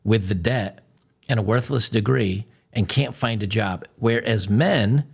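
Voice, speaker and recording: Gravelly low-voiced man, mocking commentary. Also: a little slow. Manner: blunt, mocking, animated. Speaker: host. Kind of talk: commentary reacting to clips.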